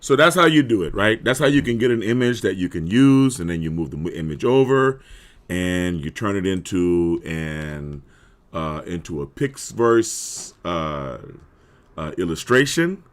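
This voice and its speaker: A man with a hoarse voice talking casually through a live tutorial, clearing his throat often and filling with "uh". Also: deep voice, expressive, measured pace. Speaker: livestream host.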